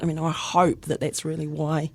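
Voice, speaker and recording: A woman hosting a radio podcast, her voice a bit croaky; she chats loosely, laughs often and trails off mid-thought. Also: deep voice, flat delivery, moderate pace, no room sound. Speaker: podcast host. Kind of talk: relaxed radio podcast conversation. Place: radio studio.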